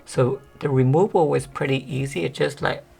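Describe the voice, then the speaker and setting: A woman vlogger chatting casually to the camera with a monotone, deep voice that sometimes cracks, rambling with many fillers. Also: normal speed, creaky, breathy edge. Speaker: beauty vlogger.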